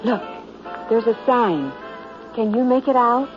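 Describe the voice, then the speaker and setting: An actress playing an anxious, affectionate new bride in an early 1960s radio drama, worried and pleading, on a muffled vintage recording. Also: female voice, on the high side, animated, a little slow, over music.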